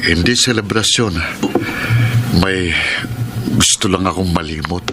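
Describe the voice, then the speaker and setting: An actor in a radio soap playing a wounded, brooding betrayed husband with emotional, dramatic delivery. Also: male voice, low-pitched, a little slow, very noisy. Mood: despairing. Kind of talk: Filipino radio soap acting. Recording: loud music behind radio drama. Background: loud dramatic music.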